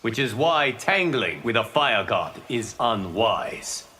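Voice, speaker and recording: A man voicing a calm, wise god, measured and dignified, in a fighting game with noisy sound. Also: medium pitch, loud music behind the voice. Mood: measured counsel. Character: calm, wise, lofty fire god advisor. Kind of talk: fighting game character acting.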